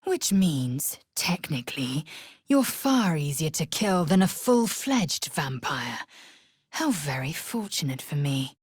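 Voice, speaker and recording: An actress voicing a fierce, haughty young alien warrior woman in a video game, husky and breathy, with an imperious, clipped, formal manner. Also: low voice, big pitch swings, slow, no room sound, clean studio sound, full character voice. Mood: cool, measured.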